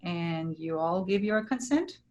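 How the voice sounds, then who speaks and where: A woman's voice: lowish voice, lively intonation, unhurried, a little mellow, highs cut off, slight background noise. A woman playing the kindly but firm leader of travelling actors in a staged play reading. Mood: solemn, welcoming.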